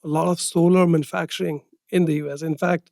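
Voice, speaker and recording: A man's voice: fairly high pitch, little pitch movement, quick pace, creaky, darkish tone, no echo, studio quality. A man speaking as a company executive, explaining in answers on an earnings call and checking with short tag questions.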